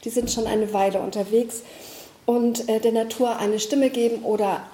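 A woman's voice: fairly high pitch, fairly even intonation, normal speed, warm tone, echoey room. A woman giving a greeting address for a network, speaking hesitantly with many fillers. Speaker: network representative.

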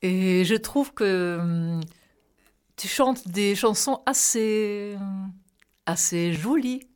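A woman interviewing casually, feeling her way through her questions in a halting manner. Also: mid-range voice, a little mellow, clean tone.

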